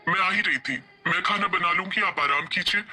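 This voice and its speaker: A man's voice: high voice, fairly quick, pushed and bright. A man voicing a humble, hardworking young village woman in a moral story, respectful, earnest and pleading. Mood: caring. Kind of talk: Hindi moral story character voice.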